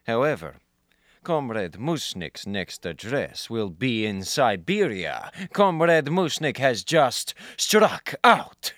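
A lively, mid-pitched male voice plays a stern Soviet security man acted by a man. He is bossy and suspicious, in a closely recorded, dry radio comedy. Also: slow, dry recording. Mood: commanding. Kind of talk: comic radio play acting.

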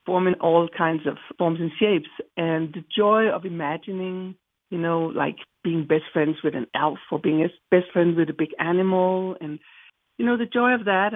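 A woman's voice: lowish voice, dry recording. A middle-aged woman from Denmark with a Danish accent, an author chatting as a guest in an interview, cheerful and rambling. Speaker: guest, children's book author. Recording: tinny phone line.

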